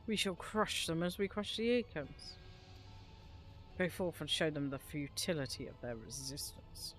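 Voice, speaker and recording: A woman's voice: deep voice, expressive, unhurried, a bit bright. A cold warlord of an evil game empire, voiced by a woman. He is imperious and stern, giving commands over background music. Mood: cold resolve. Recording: music behind the voice.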